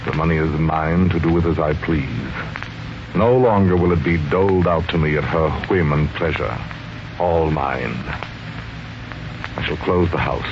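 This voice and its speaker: Very low guilt-ridden master of the house, scheming and evasive, vintage radio mystery style, muffled old recording. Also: male voice, background noise. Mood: cold, gloating. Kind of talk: 1940s radio mystery acting, with brooding first-person narration.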